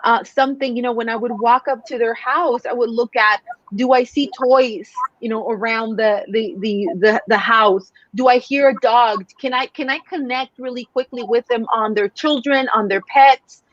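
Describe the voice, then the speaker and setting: A woman's voice: on the high side, steady tone, moderate pace, a bit bright, dry recording. A woman in her forties from Mexico, a native Spanish speaker, telling stories earnestly as a sales coach guest, with many fillers. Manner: earnest, storytelling, frequent fillers. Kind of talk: casual interview talk. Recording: dull lo-fi sound.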